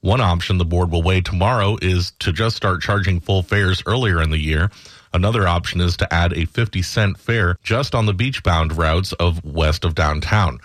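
A man's voice: low-pitched, normal speed, no echo, clean studio sound. An American man reading scripted news headlines as a radio anchor.

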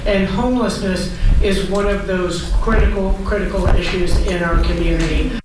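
A man's voice: sits high, fairly even intonation, normal speed. A man speaking emphatically at a roundtable discussion, repeating his words for stress. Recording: distant, echoey meeting room recording with background noise.

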